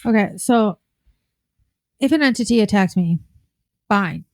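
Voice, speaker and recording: A woman's voice: medium pitch, lively intonation, measured pace, no room sound. Blunt, swearing woman in dry chat. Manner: blunt and profane. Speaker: podcast co-host. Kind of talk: casual chat.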